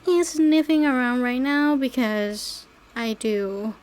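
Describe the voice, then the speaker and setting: A woman with a fairly high voice hosts a casual solo podcast as a non-native English speaker. She talks very slowly, rambling through personal anecdotes in a giggly manner. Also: darkish tone. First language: not English. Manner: rambling, giggly, often trailing into small asides. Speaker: podcast host.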